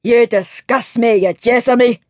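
A woman's voice: a little high, normal speed, a little mellow, dry recording, studio quality, natural voice. Woman putting on a growling, fiery cook. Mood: angry, scornful. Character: fiery-tempered male Highland cook, gruff and scolding.

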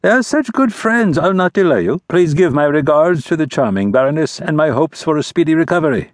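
A man's voice: a little high, animated, brisk, dry recording, studio quality, voice pushed up, natural voice. A man voicing a scheming male ambassador prince of about forty, with a mocking, careless, lofty manner.

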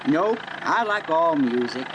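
A man's voice: on the high side, expressive, measured pace. An actor playing an easygoing, laconic young family man with an American accent, driving in a children's radio drama. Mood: easygoing, wry. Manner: laconic, casual, offhand. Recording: clear car engine and road noise.

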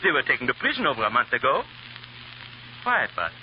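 A man's voice: medium pitch, very animated, fast talker, close to an everyday voice. Man playing a swooping, bloodthirsty French barber. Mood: boastful, chatty. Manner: theatrical, talkative, relishing. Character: jovial, bloodthirsty French barber, chatty and theatrical. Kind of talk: old-time radio drama acting. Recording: dull, hissy vintage radio recording.

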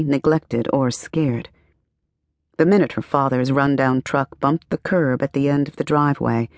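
A woman narrating a literary novel as an audiobook, with measured, expressive storytelling and careful phrasing. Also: low-pitched, dry recording, studio quality. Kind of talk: scripted literary fiction narration.

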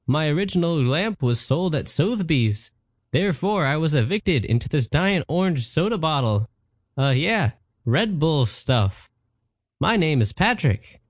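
A man voicing a smug slow-talking genie. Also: on the high side, big pitch swings, dry recording, clean studio sound, pitched above the performer's own voice. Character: smug male genie in a soda bottle.

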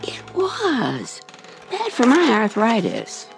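Fussy old rest-home complainer, slow delivery with extremely animated pitch, radio drama. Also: female voice, creaky, faint music behind, heavily acted. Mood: complaining. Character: complaining, arthritic elderly woman in a rest home.

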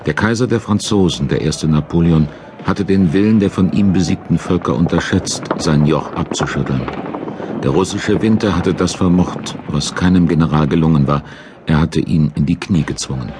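A man's voice: sits low, normal speed, a little mellow, a little hiss or hum. A man giving dramatic, scripted audiobook narration as the storyteller of a historical radio play. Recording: narration over battle sound effects.

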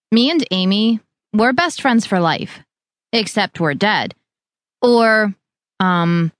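A young woman playing a blunt, unapologetic zombie with a deadpan manner in an audiobook. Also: medium pitch, no room sound, studio quality. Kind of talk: first-person audiobook character narration.

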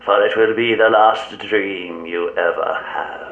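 Echoing, sinister elderly mastermind. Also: male voice, lowish voice, fairly even intonation, measured pace, highs cut off, soft background music. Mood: silky menace. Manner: mock-courteous, ornate, menacing. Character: sinister elderly criminal mastermind, urbane, theatrically cruel. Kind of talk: radio drama acting.

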